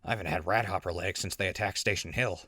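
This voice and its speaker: A man's voice: low voice, fast, sharp tone, husky, no echo, clean studio sound, exaggerated voice. A man playing a wry, skeptical wasteland traveler in an audio drama, talking casually. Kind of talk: post-apocalyptic audio drama acting.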